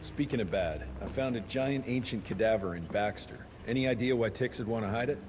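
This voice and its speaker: A man's voice: medium pitch, brisk, noisy background, lightly acted. An actor playing a blunt, sardonic male monster hunter in a video game cutscene. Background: music score.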